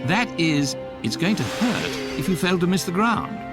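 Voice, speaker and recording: An actor voicing a wry electronic guidebook, narrating a comic science fiction radio drama in a measured, deadpan way. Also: male voice, high-pitched, loud music behind the voice. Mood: wry, instructive.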